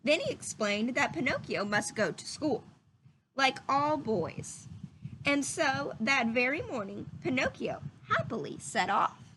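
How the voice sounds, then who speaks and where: A woman's voice: high-pitched, big pitch swings, unhurried, background noise. A woman reading a children's story aloud expressively, with little asides to her listeners.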